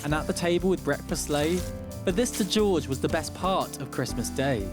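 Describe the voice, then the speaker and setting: Male narrator, slightly high voice, theatrical rhyming storybook read, music bed behind. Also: expressive, normal speed.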